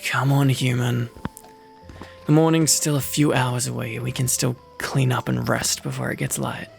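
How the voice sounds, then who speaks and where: A man playing a prickly, easily flustered wolfkin mercenary in an ASMR roleplay, gruff and sardonic, secretly protective. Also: mid-range voice, little pitch movement, moderate pace, soft background music. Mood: bossy, protective.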